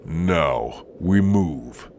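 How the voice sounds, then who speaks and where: Hulking orc captor: extremely low voice, slow menacing delivery, archaic phrasing, fantasy ASMR roleplay. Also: male voice, lively intonation, a little mellow, a little hiss or hum, exaggerated voice. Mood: stern, threatening. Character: hulking male orc warrior, stern, menacing, darkly amused captor.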